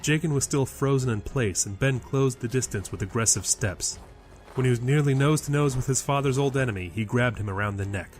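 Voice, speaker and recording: A male storyteller reads dramatic fantasy narration. His pitch is medium, and music plays underneath. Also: brisk.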